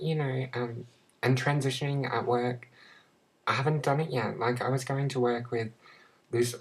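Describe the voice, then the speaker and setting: A young Australian woman talking to her camera in a casual personal vlog, halting and searching for words with many restarts. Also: a bass voice, breathy edge, rough recording. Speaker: vlogger.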